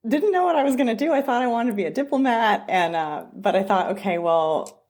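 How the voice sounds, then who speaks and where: A woman's voice: fairly high pitch, animated, brisk. A middle-aged woman from Southern California, an immigration attorney telling her story as an interview guest, with frequent restarts. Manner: warm storytelling with many restarts. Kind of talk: conversational interview.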